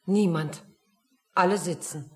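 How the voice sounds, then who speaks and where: Brusque waitress, lowish voice. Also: female voice, takes it slowly. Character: brusque, busy waitress carrying coffee and orders. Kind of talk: radio play acting.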